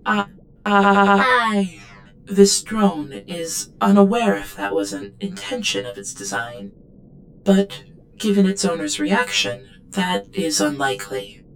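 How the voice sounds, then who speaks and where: A woman playing an obedient, guarded android servant in an audio drama, speaking in a formal, measured and deferential way. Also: mid-range voice, animated, faint music behind, low-quality recording. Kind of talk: scripted audio drama acting.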